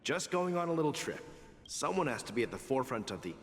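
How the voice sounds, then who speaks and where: A man playing a kindly, determined old watchmaker and adventurer in a game, reassuring and unhurried. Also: mid-range voice, big pitch swings. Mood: reassuring, resolute. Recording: game audio, long reverb, loud music.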